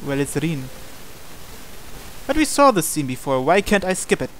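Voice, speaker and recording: Higher-pitched man reading a visual novel. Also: very animated, normal speed, a little hiss or hum. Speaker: host reading a game aloud. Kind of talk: visual novel narration with casual asides.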